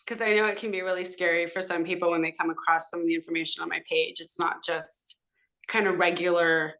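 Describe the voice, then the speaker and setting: A woman's voice: lowish voice, flat delivery, fairly quick, pushed and bright. A middle-aged American woman from Southern California, a native Hebrew speaker, chatting casually and at length as a podcast guest in an informal, rambling way. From Southern California, United States. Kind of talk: casual conversational interview.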